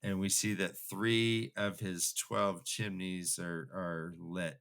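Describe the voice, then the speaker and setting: A man's voice: low-pitched, flat delivery, takes it slowly, a bit bright. A man co-hosting a comic book discussion podcast, speaking emphatically in a mock-scholarly way and repeating himself for effect. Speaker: podcast co-host.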